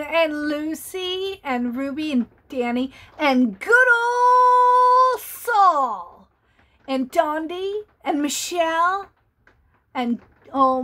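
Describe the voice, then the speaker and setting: A woman's voice: high voice, fairly bright tone. Playful older woman reading to children. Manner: playful, chatty, greets children by name; asks the young listeners questions. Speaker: storyteller for children. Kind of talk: reading a picture book aloud to children.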